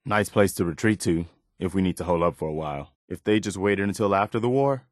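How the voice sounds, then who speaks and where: An actor playing an earnest, steadfast militia officer in a post-apocalyptic video game, speaking plainly and in a measured way with an American accent. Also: male voice, low-pitched, no echo, studio quality, lightly acted. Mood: curious, wary. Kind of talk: video game companion voice lines.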